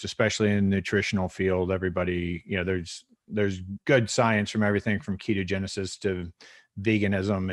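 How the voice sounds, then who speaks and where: Low-voiced Texan doctor, rambling. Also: male voice, no room sound, studio quality. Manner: rambling, many fillers and restarts, wry. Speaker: physician guest. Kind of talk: conversational interview answers.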